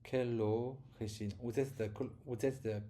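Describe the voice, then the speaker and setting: An adult man learning French, repeating practice phrases slowly and stumbling over words as he records himself. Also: medium pitch, steady tone, warm tone. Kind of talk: self-recorded pronunciation practice.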